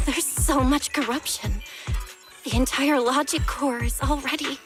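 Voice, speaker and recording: A woman's voice: high-pitched, takes it slowly, over music. A woman voice acting an earnest, caring young helper in a video game, with a concerned and questioning manner. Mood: worried, dismayed.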